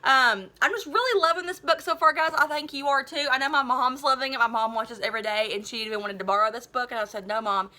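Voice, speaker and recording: A woman teacher reads a children's story aloud to her students. Her voice is very high and bright. She is animated and expressive, with a chatty, enthusiastic way of talking. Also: quick pace, hardly any pauses.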